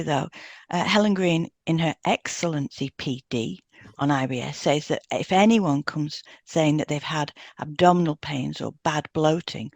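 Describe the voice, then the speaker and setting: A woman co-hosting a health podcast, reading scripted material in a measured, explanatory way and carefully sounding out technical terms. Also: low-pitched, no room sound. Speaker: podcast co-host and hypnotherapist. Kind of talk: scripted educational narration.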